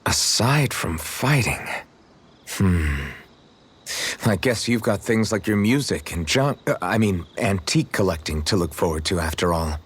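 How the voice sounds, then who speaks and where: Game hero: young swordsman, lowish husky voice, casual, modest and good-natured. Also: expressive, a little slow, full character voice. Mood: unsure, self-deprecating. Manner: casual, unpretentious. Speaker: video game protagonist. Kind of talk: English-language video game character dialogue.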